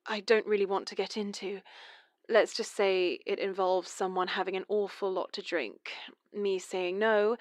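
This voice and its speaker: Woman acting as a nervous mom caller, halting confessional story, dry close sound. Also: mid-range voice, dry recording, close to an everyday voice. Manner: confessional, hesitant, nervous laughs. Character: mother confessing a family haunting. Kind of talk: horror radio drama monologue.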